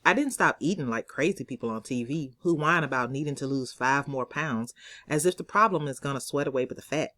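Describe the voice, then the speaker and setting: A woman reading her own novel aloud as expressive first-person narration, in a conversational, sassy storytelling manner. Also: a bass voice, a little fast, no echo, studio quality.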